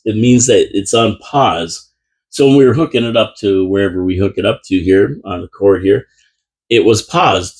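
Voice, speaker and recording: A man with a fairly low, husky voice hosts a live talk radio show from a small studio. He rambles in a relaxed, chatty way, trailing off and restarting his sentences as he goes. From Canada. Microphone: studio microphone.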